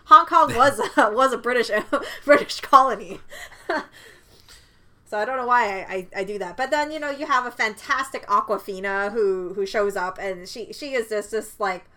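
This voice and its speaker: A woman with a bright, clear voice talks through a film review in a loose, casual way. She laughs often and restarts her phrases. Her first language is Cantonese. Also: a little high, normal speed. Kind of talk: casual chat.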